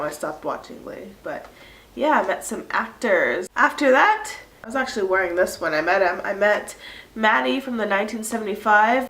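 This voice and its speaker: A woman's voice: medium pitch, fairly bright tone. A woman in her early twenties with a stuffy nose from a cold, chatting casually to her viewers with lots of fillers. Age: early 20s. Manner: chatty, rambling, many "like" fillers. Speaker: vlogger. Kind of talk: casual chat to camera. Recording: echoey room.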